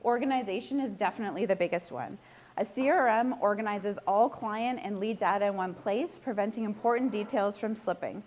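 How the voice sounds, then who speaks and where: A woman real estate agent giving a casual live presentation to a room, hoarse and close to losing her voice, chatty and self-deprecating as she puts questions to the audience. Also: medium pitch, fairly quick, a little mellow, a little hiss or hum.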